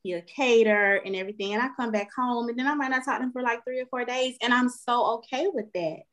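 A woman's voice: fairly high pitch, fairly even intonation, fast, fairly bright tone. A woman in her forties with an American accent, co-hosting a podcast and telling personal stories in casual, easygoing slang. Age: 40s. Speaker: podcast co-host.

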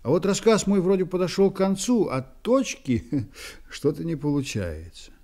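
An actor playing a reflective storyteller who narrates a radio play in a musing, conversational way. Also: male voice, a little high, expressive, moderate pace, warm tone. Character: reflective male journalist narrating his friend's story.

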